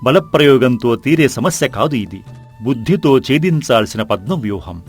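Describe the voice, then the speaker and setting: A man playing a calm, shrewd chief minister in a folk-tale drama, measured and courteous. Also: medium pitch, husky, faint music behind. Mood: wise, measured.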